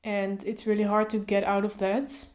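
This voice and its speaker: A woman speaks calmly and thoughtfully, stuttering openly with repeated sounds and blocks. Her pitch stays flat and steady, with little rise or fall. She thinks aloud in a casual way. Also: normal speed. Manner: calm, reflective, thinks aloud with fillers. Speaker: vlogger. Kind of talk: casual talk to camera.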